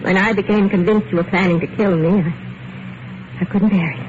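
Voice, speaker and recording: Betrayed wife, played by a woman, radio thriller: measured, wounded, quietly vengeful, on a hissy vintage recording. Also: mid-range voice, fairly even intonation, lightly acted. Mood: wounded, reproachful. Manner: measured, wistful, dramatic pauses. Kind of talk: old-time radio thriller acting. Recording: muffled, very noisy old radio recording.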